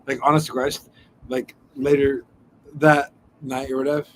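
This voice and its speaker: A man telling a rambling story, swearing freely and jumping between voices as he goes. He sounds a touch off the mic, with a faint murmur of room sound behind him. His pitch is ordinary. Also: moderate pace, slight background noise, low-quality recording. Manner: rambling, profane, animated storyteller. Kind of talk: casual storytelling.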